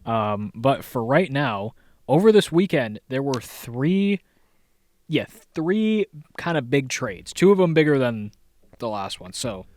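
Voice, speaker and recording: A man's voice: medium pitch, very animated, slow pace, darkish tone, dry recording. Blunt, swearing young man. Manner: blunt and profane. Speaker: podcast co-host. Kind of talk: casual sports chat.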